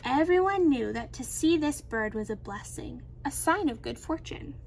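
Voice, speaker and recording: A woman reading a children's storybook aloud in an expressive, animated storytelling manner. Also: high-pitched, normal speed, vocal fry, a little hiss or hum, rough recording. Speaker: teacher reading a picture book aloud.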